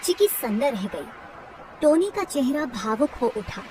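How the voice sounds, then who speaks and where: A woman narrating a children's story in a steady, gently dramatic way. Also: sits high, a little slow, recorded from a distance, slight background noise.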